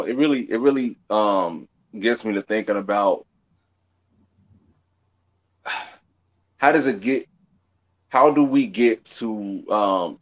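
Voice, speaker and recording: A young man hosting a video call interview, reflecting thoughtfully and haltingly, repeating words as he searches for them. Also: lowish voice. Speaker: host. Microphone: video call with desk mic.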